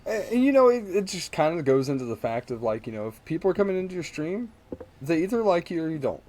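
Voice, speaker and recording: A man's voice: fairly high pitch, big pitch swings, fast, a little mellow. A man co-hosting a casual podcast, bantering in a teasing, laid-back way. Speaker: podcast co-host.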